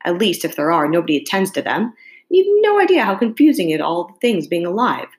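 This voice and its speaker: A woman's voice: lowish voice, sing-song, brisk. A woman voicing a polite, curious young girl in a storybook, with an earnest, complaining manner. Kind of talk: storybook character reading.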